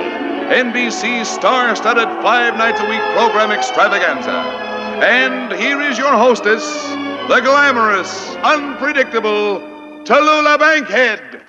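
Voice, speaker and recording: A man announcing a 1950s network radio show with grand, emphatic proclamations. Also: sits high. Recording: muffled 1950 radio, loud music behind. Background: loud show music.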